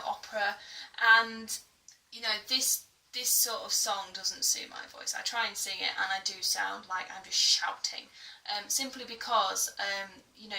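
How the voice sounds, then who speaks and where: A woman's voice: medium pitch, sharp tone. A British woman giving an informal singing tutorial, chatty and a little hesitant, with a self-deprecating way of talking. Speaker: singing teacher. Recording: echoey room, distant mic, music behind.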